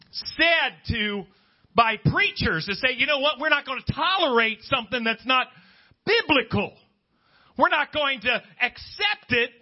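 A man's voice: extremely high pitch, muffled recording. A man preaching a Pentecostal-style sermon to a congregation, speaking emphatically, repeating phrases and prompting listeners for agreement.

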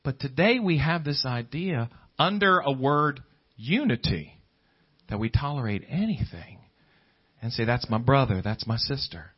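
A man's voice: mid-range voice, very animated, measured pace, breathy edge, highs cut off. A man preaching a Pentecostal-style sermon to a congregation, speaking emphatically, repeating phrases and prompting listeners for agreement.

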